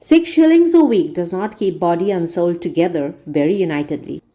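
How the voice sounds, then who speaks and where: Mellow woman reading a story aloud. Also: lowish voice, animated, normal speed. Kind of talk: audiobook-style read-aloud narration.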